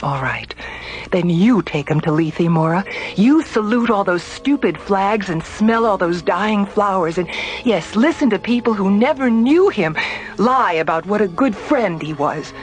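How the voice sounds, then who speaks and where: An actress playing a grieving, stubborn, bitter wife in a radio drama. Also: female voice, mid-range voice, animated, measured pace, close to an everyday voice, rough recording. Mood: bitter weary. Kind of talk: emotional radio drama acting.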